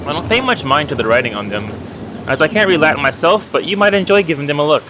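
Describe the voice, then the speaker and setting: A man's voice: fairly high pitch, animated, fast, noisy background, lightly acted. A man voicing a friendly, humble village miller in a video game, chatting in a folksy way about old legends. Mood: friendly, musing. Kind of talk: reading video game dialogue aloud.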